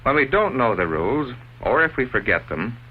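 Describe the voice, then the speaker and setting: A man playing an earnest school authority who explains rules in a deliberate, didactic way, like a 1950s instructional film. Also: mid-range voice, very animated, breathy edge. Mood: calm reasoning. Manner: didactic, deliberate, asks leading rhetorical questions. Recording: dull, noisy old 1950s film soundtrack.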